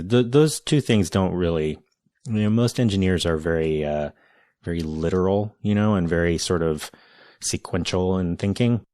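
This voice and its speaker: Very low-voiced reflective male host. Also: a little mellow, no room sound, studio quality. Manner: reflective, measured, with hesitations. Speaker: podcast host. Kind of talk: casual conversational interview.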